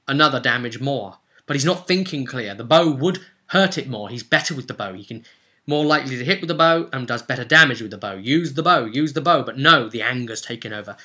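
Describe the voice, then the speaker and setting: A man's voice: mid-range voice, pushed and bright. A man narrating a tabletop role-play as game master, theatrical and dramatic, in short punchy phrases.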